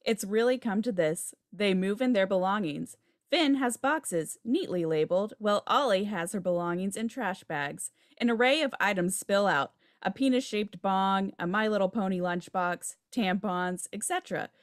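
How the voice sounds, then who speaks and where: A woman's voice: mid-range voice, normal speed, dry recording, studio quality. A woman reading stage directions as scripted narration for a table read. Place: home room, video call.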